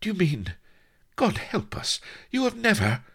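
A man voicing an imperious, seductive female pirate in an audiobook, with a breathy, husky murmur and mock-archaic, coquettish speech. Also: lowish voice, sing-song, slow, a bit bright, voice pushed up, exaggerated voice. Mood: incredulous. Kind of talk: audiobook character voice.

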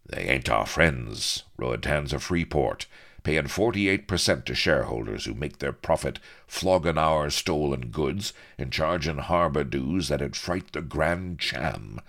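Grim, slow-talking pirate captain played by a man. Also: very deep voice, animated, gravelly, fairly bright tone, pitched above the performer's own voice, full character voice. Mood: grim, calculating. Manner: slow, rustic sea-dog speech. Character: grim, shrewd, rough-spoken male pirate captain.

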